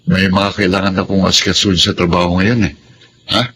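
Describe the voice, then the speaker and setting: Man playing a low-voiced, evasive boyfriend. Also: little pitch movement, brisk, fairly bright tone. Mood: uneasy, evasive. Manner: hesitant, evasive. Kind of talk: Filipino audio melodrama acting. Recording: faint background noise.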